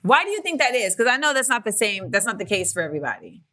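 Woman interviewer, bright voice, casual and chatty, laughs a lot, swears. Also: mid-range voice, sing-song, fast. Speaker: interviewer, former gymnast.

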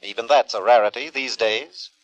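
An actor playing a cynical, sardonic, quietly protective man in terse 1950s radio drama style. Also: mid-range voice, normal speed, no room sound, dull audio, close to an everyday voice. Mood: cynical, grave. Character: cynical, dry male friend, quietly protective. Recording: 1950 radio broadcast.

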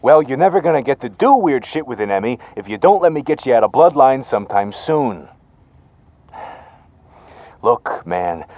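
A man's voice: mid-range voice, darkish tone, no echo. An actor playing a pushy, chummy male talent agent, glib and persuasive, in a naturalistic audio drama.

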